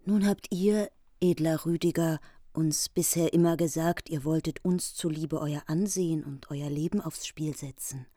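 A woman's voice: sits low, fairly even intonation, normal speed, warm tone, no room sound. An actress playing a grieving queen in a radio play who urgently demands a knight's loyalty. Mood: imploring. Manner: urgent, imploring. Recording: dry studio.

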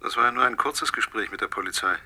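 An actor in a radio drama playing a vengeful husband, a coldly controlled and menacing caller who speaks with deliberate mockery. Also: male voice, medium pitch, background noise, lightly acted. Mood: coldly superior.

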